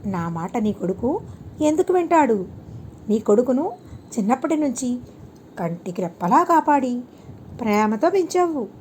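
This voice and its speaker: Storybook sage, played by a woman: serene holy teacher, gently admonishing, deliberate, higher-pitched soft tone, faint noise. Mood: calm, questioning. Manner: calm, deliberate, preaching with rhetorical questions.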